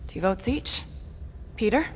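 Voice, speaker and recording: A woman's voice: mid-range voice, lively intonation, measured pace, slight background noise, natural voice. An actress playing a dry, no-nonsense female alien assassin in a video game, curt and sardonic. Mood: firm.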